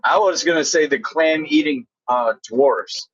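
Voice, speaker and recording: Muffled lo-fi voice chat; male, raspy, unhurried, crude and jokey in casual talk. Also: a little high. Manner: crude, joking. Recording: dull lo-fi voice chat.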